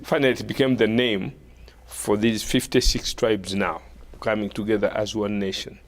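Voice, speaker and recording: A middle-aged man from Uganda with a Ugandan accent, a bishop speaking as a guest in a measured, conversational way. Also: on the low side, steady tone. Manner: measured, frequent short affirmations. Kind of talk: conversational interview, recounting history.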